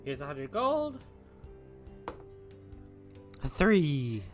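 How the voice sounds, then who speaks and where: Man chatting casually over soft music. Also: a little high, huge pitch swings, drawn-out words. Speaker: tabletop game player. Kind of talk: casual chat. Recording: music behind the voice. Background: background music.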